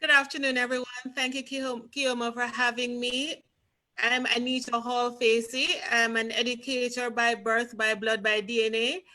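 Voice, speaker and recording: Brief: Jamaican woman educator, bright and a little high, composed and formal. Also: level tone, moderate pace, low-quality recording. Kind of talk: self-introduction on a video call. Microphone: video call.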